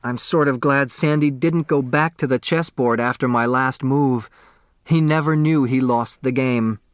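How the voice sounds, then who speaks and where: A man's voice: medium pitch, a little slow, dry recording, studio quality, lightly acted. A man voicing an earnest, mournful teenage boy explaining himself in a storybook.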